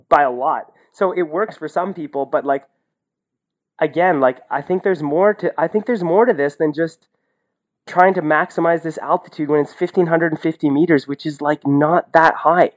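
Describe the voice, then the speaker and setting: Enthusiastic man in casual sports chat. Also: a little high. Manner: enthusiastic, rambling, emphatic. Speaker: podcast co-host and ski racing commentator.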